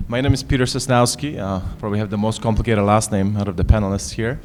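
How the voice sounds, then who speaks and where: Man, Polish accent, low-pitched, plain and hesitant, faint noise behind. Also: fairly even intonation, quick pace, darkish tone. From Poland. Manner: plain, modest, many uh fillers. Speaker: panelist, construction manager. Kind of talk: self-introduction on a panel.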